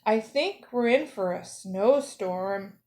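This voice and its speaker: A woman voicing an earnest, thoughtful young male penguin in a gentle manner for a children's story. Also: mid-range voice, lively intonation, takes it slowly. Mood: concerned.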